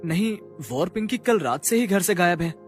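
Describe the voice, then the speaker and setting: A man's voice: high-pitched, animated, moderate pace, faint music behind. A man playing a harsh, self-concerned husband with a stern manner in a cartoon story. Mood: worried.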